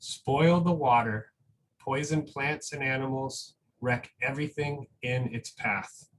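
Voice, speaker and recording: A man reading a picture book aloud as a solemn young girl, in a measured storytelling manner. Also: medium pitch, distant mic, a little hiss or hum, close to an everyday voice, low-quality recording.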